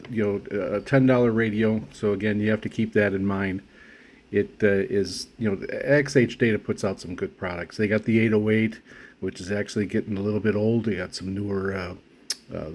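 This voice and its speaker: Low-voiced man in a halting casual review. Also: darkish tone, a little hiss or hum. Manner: halting, with frequent pauses and restarts. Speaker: radio hobbyist reviewing gear.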